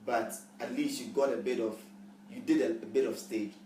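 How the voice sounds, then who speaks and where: A man's voice: medium pitch, steady tone, moderate pace. A man with a Ghanaian accent interviews a guest, prompting her with friendly questions and short okays. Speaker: interviewer, show host. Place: guest's home, indoors. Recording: roomy echo, distant mic, background noise.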